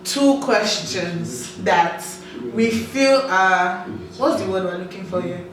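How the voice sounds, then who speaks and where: A woman's voice: mid-range voice, steady tone, takes it slowly. A young woman who is not a native English speaker, hosting a casual, informal chat. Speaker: host. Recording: very echoey room, distant mic.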